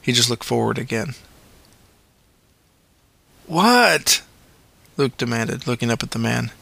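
A man's voice: mid-range voice, lively intonation, takes it slowly, gravelly, pushed and bright, no room sound, exaggerated voice. A man voicing a badly injured young man who whispers and demands answers in a strained voice. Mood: demanding.

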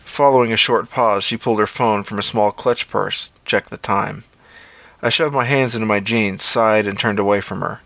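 Male, scripted fiction narration, low pitch, gravelly fry, dry close sound. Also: steady tone, moderate pace, fairly bright tone, no echo. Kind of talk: scripted first-person story narration.

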